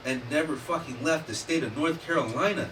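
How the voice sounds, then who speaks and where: A man's voice: on the high side, a little fast. Emphatic man, distant mic, noisy room. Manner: animated, emphatic, swears freely. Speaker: podcast host. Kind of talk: casual discussion. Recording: distant mic with noticeable background noise.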